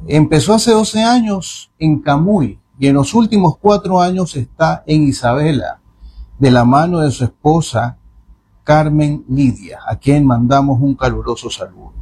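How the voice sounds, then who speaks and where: A man's voice: fairly high pitch, measured pace, mellow, slight background noise. A man hosting a radio interview, who introduces his guest in an effusive, formal way. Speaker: radio host.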